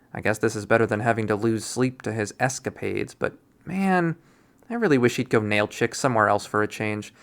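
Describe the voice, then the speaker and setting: A man reading a story aloud as scripted narration, with a dramatic, wry delivery. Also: medium pitch. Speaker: visual novel readthrough player and narrator. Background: background music.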